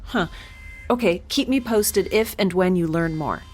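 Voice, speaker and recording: A woman's voice: on the low side, measured pace, a little mellow, a little hiss or hum, close to an everyday voice. Woman playing a plucky, informal space scientist. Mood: anxious, brisk. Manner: casual, chatty, hesitant. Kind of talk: scripted audio drama acting.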